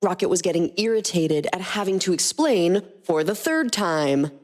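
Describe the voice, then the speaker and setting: A woman's voice: fairly low pitch, lively intonation, normal speed. A woman reading scripted narration for a fan fiction story.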